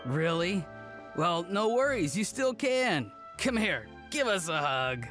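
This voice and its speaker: Game casting: mellow, easygoing youngster, friendly and unbothered, faint music underneath. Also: female voice, fairly low pitch, very animated, slow, exaggerated voice. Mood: mild, playful. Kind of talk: video game character acting.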